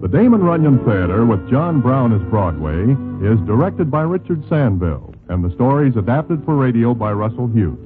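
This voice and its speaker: A man's voice: mid-range voice, very animated, moderate pace. A man announcing a scripted program sign-off in 1940s radio style. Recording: tinny 1940s radio recording, music behind.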